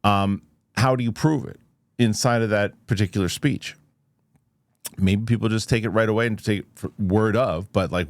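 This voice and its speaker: A man hosting a casual news commentary show, thinking aloud in a halting, skeptical way and posing rhetorical questions. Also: lowish voice, no room sound.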